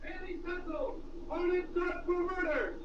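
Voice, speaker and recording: Shrill newsboy. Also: male voice, steady tone, moderate pace, recorded from a distance, muffled recording, heavy background noise. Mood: urgent, hawking. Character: shouting young newsboy hawking papers. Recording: echoey old radio, music over it.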